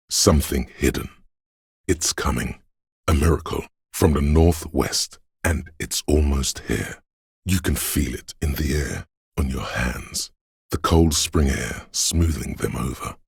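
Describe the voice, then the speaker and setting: An actor voicing a brooding, poetic inner sense of a haunted city in solemn, measured, atmospheric game narration, very deep and gravelly. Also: male voice, no room sound, studio quality, exaggerated voice. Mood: hushed, foreboding.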